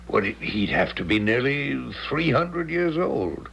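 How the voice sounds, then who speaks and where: An actor playing a skeptical, blustering gentleman father in a 1970s radio drama, dismissive in manner. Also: medium pitch, big pitch swings, measured pace, vocal fry, exaggerated voice. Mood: exasperated. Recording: dull, faintly noisy 1970s radio broadcast.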